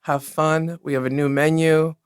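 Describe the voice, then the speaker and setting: A woman's voice: sits low, little pitch movement, moderate pace, clean tone, no echo, clean studio sound. A woman appearing as a podcast guest for a restaurant, talking in a friendly, upbeat, promotional way. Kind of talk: casual interview chat.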